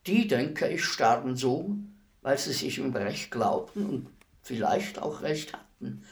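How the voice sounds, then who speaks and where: An actor playing a world-weary, philosophical executioner in a radio play, speaking in a deliberate, brooding, respectful way. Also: male voice, medium pitch, sing-song. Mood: brooding, intense. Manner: deliberate, brooding, formally addressing a lady. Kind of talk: radio play acting, slow reflective monologue.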